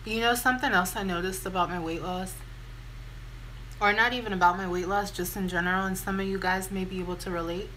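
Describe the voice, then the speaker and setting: A young American woman with a deep voice talks casually and candidly, in a self-deprecating, informal way, as a vlogger telling a story. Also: steady tone, brisk, bright, forceful tone, slight background noise, rough recording. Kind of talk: casual chatty get-ready-with-me storytime.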